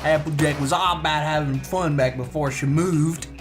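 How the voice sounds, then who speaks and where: A woman's voice: sits low, fairly even intonation, normal speed, over music, close to an everyday voice. A woman voicing a chirpy, folksy country pony in a parody cartoon, with a Southern US accent.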